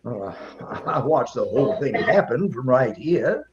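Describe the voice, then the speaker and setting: Slightly dull recording of a folksy shopkeeper in a radio play. He rambles slowly and haltingly, easily tickled, with a highish voice that swings up and down. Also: very animated, background noise. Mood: amused, proud. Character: chatty, folksy small-town male shopkeeper, easily tickled. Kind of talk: audio drama acting, storytelling.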